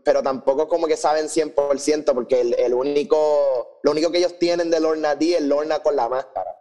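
A man co-hosting a podcast, speaking casually and haltingly, repeating words and mixing Spanish with English. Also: a little high, fairly even intonation, mellow. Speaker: podcast co-host. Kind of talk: casual conversational review.

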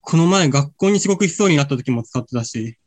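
Radio drama friend: male actor as earnest, unsure young man, fairly high register, natural amateur delivery. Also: animated, a little fast. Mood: casual, teasing. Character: anguished, hesitant young man, loyal friend.

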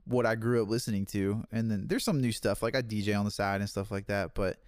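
A relaxed man in his thirties talks in an easygoing, chatty way, laughing often. His voice sits a little low, and the recording is close and dry with no room sound. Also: fast, clear, resonant tone, dry recording. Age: 30s. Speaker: podcast guest, online campus pastor. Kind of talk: casual podcast chat.

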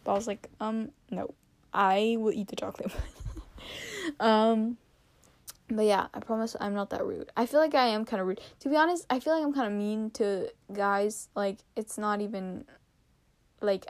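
A young woman in her teens hosting a casual solo podcast, rambling with lots of fillers and giggles, her voice a little raspy and tired. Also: medium pitch, no echo. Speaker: podcast host.

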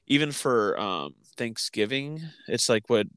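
Man chatting casually in a halting way, clear-voiced. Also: medium pitch, expressive, a bit bright, no echo. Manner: halting, thinking aloud. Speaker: podcast co-host. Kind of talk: casual chat.

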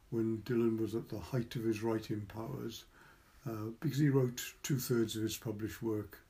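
A man's voice: on the low side, mellow. A man with a Welsh accent, a curator talking informally and reading a literary short story aloud. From Wales.

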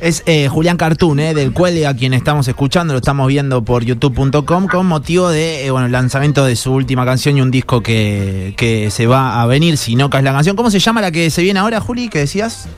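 A man's voice: mid-range voice, fairly quick, hardly any pauses, soft background music. A man with a Rioplatense Argentine accent hosts a casual interview, putting long, conversational questions to his guest. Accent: Rioplatense Argentine Spanish. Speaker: interview host.

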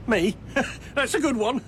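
A man's voice: very high voice, expressive, slow, a little hiss or hum, heavily acted. A man playing an unhinged, vengeful villain with an English accent, who mixes affable small talk with threats in a comic radio drama. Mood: manic, giggly. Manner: muttering threats between polite small talk.

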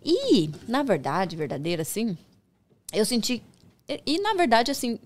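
Podcast guest woman, animated storyteller, voice leaps up when excited, relaxed chatty delivery. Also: a little high, a little slow. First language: Portuguese. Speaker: podcast guest, micropigmentation professional. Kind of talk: casual conversation.

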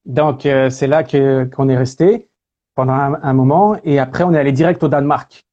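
Relaxed French man, mellow voice. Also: mid-range voice, moderate pace, muffled recording. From France. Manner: relaxed, lots of hesitation fillers. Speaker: guest, speaker and singer. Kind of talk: casual interview talk.

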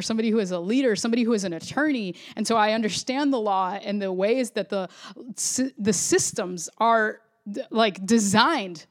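A woman pastor from Florida, preaching a sermon in an earnest, reflective way, often restarting phrases. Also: mid-range voice.

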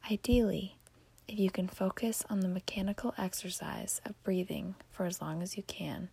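A woman podcast host whispering a guided sleep meditation in a breathy, hushed, soothing manner. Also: medium pitch, normal speed.

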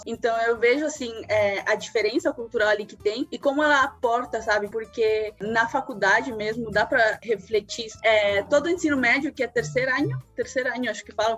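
A woman's voice: fairly high pitch, a bit bright. A young woman from Bolivia, a university student, chatting casually with lots of fillers. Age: young adult. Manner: chatty, full of fillers like "tipo" and "sabe". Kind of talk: casual conversation.

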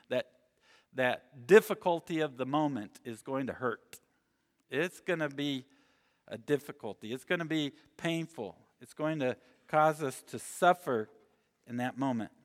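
A man raised in Mexico preaching a sermon in an earnest way, slowing down and dropping his voice for scripture and emphasis. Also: a little high, fairly bright tone. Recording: long reverb in a big hall.

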